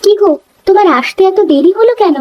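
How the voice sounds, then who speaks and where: A woman's voice: extremely high pitch, lively intonation, quick pace, highs cut off. A woman voicing a dutiful, caring young village wife and daughter-in-law in a cartoon, with a polite, homely manner. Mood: surprised. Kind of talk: cartoon voice acting.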